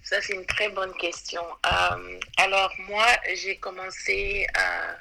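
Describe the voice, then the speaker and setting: A woman's voice: medium pitch, level tone, slow, creaky, pushed and bright, slight background noise, low-quality recording. A woman from Ivory Coast, a guest on an interview podcast, talking in a hesitant way with many fillers and restarts. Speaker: podcast guest, web designer. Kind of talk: casual interview. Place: New York.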